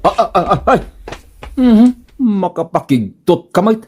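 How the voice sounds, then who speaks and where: A man's voice: high-pitched, sing-song, measured pace, darkish tone, breathy edge, heavily acted. A man with an Ilocano accent playing a vain, sly village husband in a comedy radio drama, teasing and self-satisfied. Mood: evasive, teasing.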